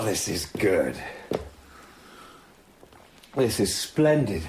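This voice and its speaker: An actor playing a jovial, evasive English gentleman doctor in a radio drama, effusive and theatrical. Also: medium pitch, a little hiss or hum.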